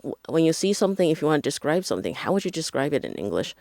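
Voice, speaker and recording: A woman teacher from Taiwan talks in a relaxed podcast chat, her pitch swinging widely as she acts out the people she quotes. The sound is dry and close, with no room behind her. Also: very fast, creaky, no echo. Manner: animated, acts out quoted voices. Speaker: English teacher, guest. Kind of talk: casual podcast conversation.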